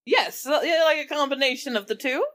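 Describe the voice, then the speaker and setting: A woman's voice: high-pitched, a little fast, a bit bright. A woman in an improvised comedy chat, reading passages aloud between bits of banter. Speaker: book club podcast performer reading aloud.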